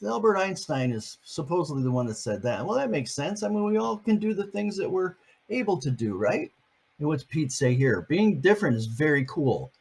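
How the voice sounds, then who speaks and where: A man's voice: a little high, darkish tone, slightly rough, rough recording. An older man, a grandfather, reading a picture book aloud to his grandchildren and chatting about each page. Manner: chatty, explains each page in plain words.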